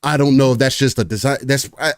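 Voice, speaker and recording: A man's voice: mid-range voice, fairly even intonation, fast, clear, resonant tone, dry recording, studio quality. A man hosting a casual gaming podcast, talking loosely with lots of fillers and restarts. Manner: rambling, many fillers and restarts. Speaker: podcast host.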